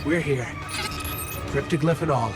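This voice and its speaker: Man voicing an anxious, high-pitched robot companion. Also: measured pace, far from the mic, loud music behind the voice, natural voice. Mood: urgent. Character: earnest, anxious small robot companion, male. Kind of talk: dramatic video game acting.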